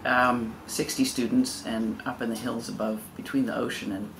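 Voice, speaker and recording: A middle-aged man recalling his schooling in a gentle, meandering way. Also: mid-range voice, little pitch movement, normal speed, background noise. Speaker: interviewee. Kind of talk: casual recollection.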